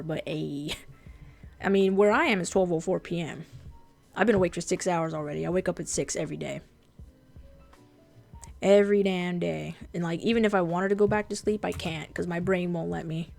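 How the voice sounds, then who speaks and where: A woman whose first language is Japanese, with a fairly deep voice, chatting casually and bluntly on a drawing livestream, swearing freely. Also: moderate pace, creaky, darkish tone, soft background music. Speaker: streamer and artist.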